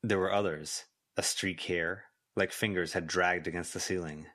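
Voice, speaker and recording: A man's voice: sits low, steady tone, normal speed, sharp tone. A man reading scripted fiction as a volunteer audiobook narrator.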